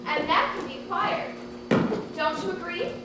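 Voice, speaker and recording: Actress as a very high-voiced, fussy housewife, echoey stage. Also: female voice, normal speed. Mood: self-satisfied. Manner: chatty, dramatic, self-important. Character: fussy, talkative, exasperated Southern housewife and aunt. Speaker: actor in a student one-act play. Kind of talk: live stage comedy acting. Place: theatre stage, distant microphone. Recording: distant echoey stage recording, noisy.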